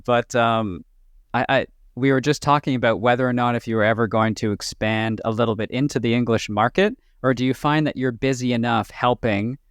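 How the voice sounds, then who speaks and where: A man's voice: mid-range voice, steady tone, fairly quick, no echo, studio quality. A man hosting a podcast interview in a casual style, asking loose, rambling questions. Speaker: podcast host.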